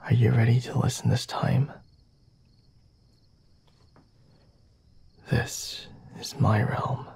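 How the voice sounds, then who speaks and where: A man playing a flirty, self-assured vampire lord in an ASMR roleplay, speaking softly and formally in a breathy, often whispered voice with a teasing manner. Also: mid-range voice, level tone, a little slow. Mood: cool, commanding. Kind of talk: ASMR roleplay, intimate dramatic monologue.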